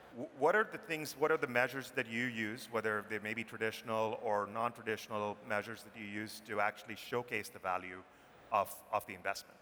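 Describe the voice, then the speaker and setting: A man moderates a conference panel and builds long, layered questions for each speaker. His voice sits at a middle pitch with a bright tone. A reverberant hall and faint ambience wrap around him. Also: a little hiss or hum. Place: conference stage in a large hall.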